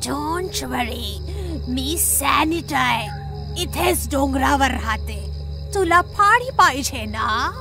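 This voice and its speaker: Horror story witch: old, hungry, sly hag, high-pitched and drawling, music underneath. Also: expressive, gravelly, heavily acted. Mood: sly, luring. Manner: taunting, slow, drawn-out delivery.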